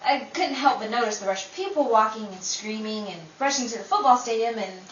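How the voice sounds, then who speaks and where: Woman acting a bright, flirtatious former cheerleader. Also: fairly high pitch, brisk, close to an everyday voice. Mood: coy. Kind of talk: old-time radio comedy-drama acting. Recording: dull, echoey, distant, faintly noisy.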